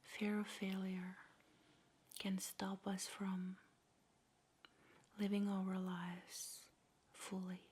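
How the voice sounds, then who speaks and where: A woman speaking in a soft, breathy whisper, slowly and gently guiding a meditation in an ASMR style, with measured pauses. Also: mid-range voice, monotone.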